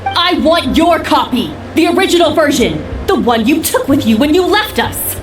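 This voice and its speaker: A proud, hot-tempered chef from a video game, taunting and scornful. Her high voice moves around a lot, with an echo on it and music behind. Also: very animated, moderate pace. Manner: sharp, taunting.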